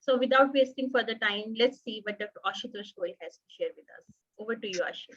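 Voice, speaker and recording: A woman's voice: fairly high pitch, quick pace. A woman with an Indian English accent hosting a college online event, effusive and mixing in Hindi. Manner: warm, effusive, mixes Hindi. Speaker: college host. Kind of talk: event introduction. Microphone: video call. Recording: dull lo-fi video call audio.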